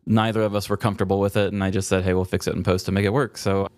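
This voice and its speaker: An American man with a slightly low voice, recorded dry and close. He hosts a podcast in a casual, joking style. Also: flat delivery, racing, a little mellow, no room sound. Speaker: podcast host.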